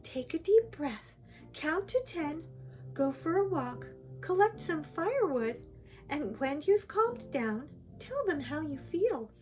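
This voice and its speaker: A woman's voice: high voice, very animated, slow pace, faint music behind, pitched above the performer's own voice, full character voice. A woman voicing a sweet, kind and polite young fairy-tale heroine in a storybook reading. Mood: kind, encouraging. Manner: sweet, bright-hearted, earnest.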